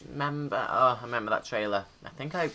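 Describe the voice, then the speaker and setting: A man's voice: mid-range voice, quick pace, sharp tone, a little hiss or hum. A man giving casual, rambling creator commentary on his game movie, often interrupting himself. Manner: informal, rambling, self-interrupting.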